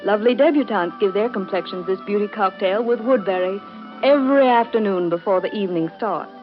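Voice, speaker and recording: A woman announces an advertisement in 1930s radio style. Her pitch is average. The recording is muffled, with faint music underneath. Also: a little fast.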